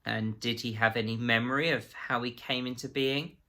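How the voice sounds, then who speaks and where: A man's voice: mid-range voice, fairly even intonation, normal speed, bright, forceful tone. A man playing a prissy, menacing government agent in a story, officious, pompous and precise. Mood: icy condescension.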